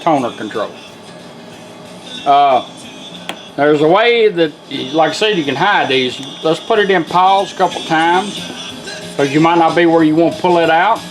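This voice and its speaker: A man's voice: on the high side, measured pace, pauses often, soft background music, rough recording. A man giving an informal hands-on product tutorial in a folksy, step-by-step way. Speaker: product demonstrator.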